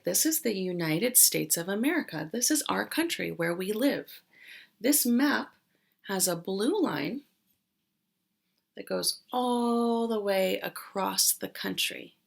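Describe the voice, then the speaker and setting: Slow-paced female kindergarten teacher. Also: medium pitch, creaky. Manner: simple explanations with frequent questions to young listeners. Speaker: kindergarten teacher reading aloud. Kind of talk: read-aloud lesson for young children.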